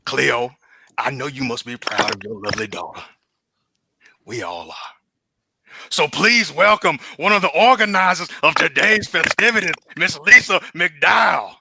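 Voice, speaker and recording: An actor playing an effusive preacher in a comedy table read, theatrical and punctuating his phrases with a breathy "ha". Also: fairly high pitch, animated, measured pace, background noise. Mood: proud, booming. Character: showy, effusive preacher hosting a community rally.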